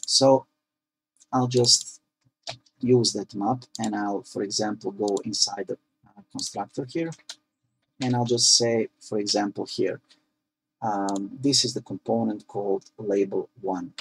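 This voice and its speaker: Gravelly low man, halting tutorial explainer. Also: fairly even intonation, rough recording. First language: Croatian. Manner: halting, frequent "uh" fillers, explanatory. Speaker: programming tutorial presenter. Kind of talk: screen-recorded coding tutorial.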